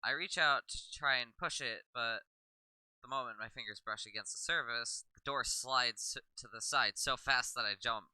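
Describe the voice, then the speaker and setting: A man's voice: medium pitch, normal speed, bright, forceful tone, smooth, dry recording, poor audio. A man reading first-person narration aloud from a visual novel, sometimes stumbling and rereading a line.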